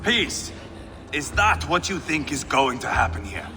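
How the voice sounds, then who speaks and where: An actor playing a brutal, hot-tempered Viking warrior in a video game, sneering, foul-mouthed and menacing. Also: male voice, medium pitch, very animated, a little slow. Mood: scornful. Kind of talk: dramatic video game acting. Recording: long hall reverb, music underneath.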